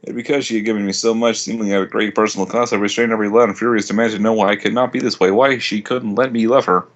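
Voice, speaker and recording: A man's voice: on the low side, fairly even intonation, racing, lo-fi sound. A young man giving a jokey, hammy amateur reading of a story. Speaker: amateur fan fiction reader.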